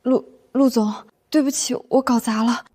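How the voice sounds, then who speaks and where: Actress as a high-voiced flustered young office woman. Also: fairly even intonation, normal speed, darkish tone, a little hiss or hum, close to an everyday voice. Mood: nervous, apologetic. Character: flustered, plucky young female office employee. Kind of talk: animated web drama dialogue.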